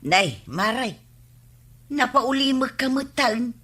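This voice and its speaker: Husky, bright-edged village gossip. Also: female voice, on the high side, very animated, slow pace, heavily acted. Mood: gossipy. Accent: Filipino. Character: gossipy village neighbour woman bearing complaints. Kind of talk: broadcast radio comedy drama acting.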